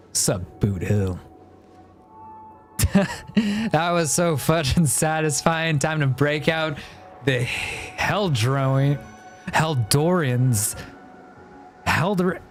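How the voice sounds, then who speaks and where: A man livestreaming a game, chatting casually and reading dialogue aloud in a playful, expressive, joking way. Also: a little high.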